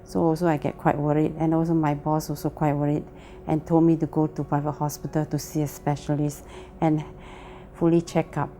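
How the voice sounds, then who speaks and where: A woman's voice: sits low, flat delivery, brisk, dark tone. A woman with a Malaysian English accent giving a plain, earnest personal testimony. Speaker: testimony speaker.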